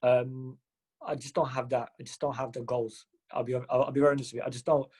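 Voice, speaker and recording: Fast-talking husky young man from Mumbai. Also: mid-range voice. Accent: London English. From Mumbai, India. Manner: fast, animated, with many fillers and restarts. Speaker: podcast guest. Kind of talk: casual interview storytelling.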